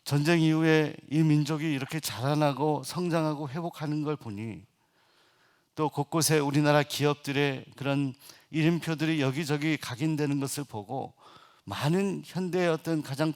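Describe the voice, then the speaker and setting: A middle-aged man preaching a Sunday sermon as senior pastor. He hesitates often with filler sounds, then builds to loud, emphatic passages. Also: a little high.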